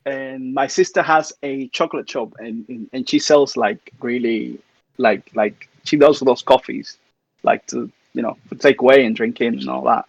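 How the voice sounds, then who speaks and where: A man's voice: mid-range voice, fairly even intonation, normal speed, gravelly, no room sound, low-quality recording. A man from Cuba hosting a casual livestream chat, talking loosely and laughing often. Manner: chatty, laughs often, trails off. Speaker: host. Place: home studio, livestream.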